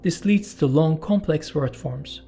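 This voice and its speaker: Man narrating, mellow voice. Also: a little high, gravelly, faint music behind. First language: Turkish. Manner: measured, explanatory, with personal asides. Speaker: channel host and narrator. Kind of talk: scripted documentary narration.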